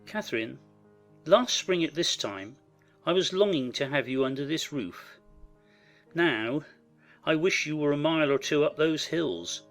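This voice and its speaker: Expressive gentleman husband, acted by a man. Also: on the high side, a little slow, pauses often, soft background music. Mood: tender, wistful. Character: devoted, wounded gentleman husband, gentle yet proud. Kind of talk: dramatized audiobook acting.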